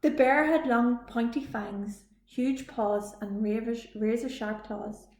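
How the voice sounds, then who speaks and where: A woman reading a children's story aloud as a library storytime reader, with expressive delivery. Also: fairly high pitch, a little hiss or hum. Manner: slow, expressive read-aloud. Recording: echoey room.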